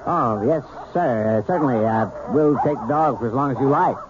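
An actor playing a calm, polite hypnotherapist in a 1970s radio drama, explaining things in a measured, deliberate way. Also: male voice, mid-range voice, noisy background, natural voice. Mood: polite, hesitant. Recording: tinny, band-limited old radio broadcast.

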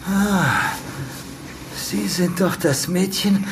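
An actor playing a middle-aged, evasive and condescending property manager mixed up in crime, in an audio drama. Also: male voice, high voice, drawn-out words, background noise. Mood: smug, evasive. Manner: evasive, condescending, swearing when rattled. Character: cornered, sneering older property manager in crime business.

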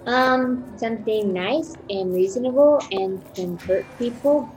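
A woman's voice: a little high, unhurried, darkish tone, faint music behind, poor audio. A woman playing a tabletop game, haggling in a breezy way. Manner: breezy, bargaining. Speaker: player.

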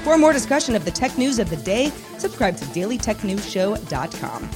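A woman presents scripted news headlines for a podcast. Her voice sits at an average pitch for a woman. She delivers each item in a measured, matter-of-fact newsreader manner. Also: big pitch swings, over music.

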